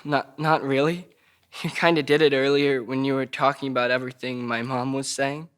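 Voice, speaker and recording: Man acting a troubled, halting, childlike young man. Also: medium pitch, level tone, a bit bright, lightly acted. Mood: hesitant, wistful. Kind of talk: audio drama table read.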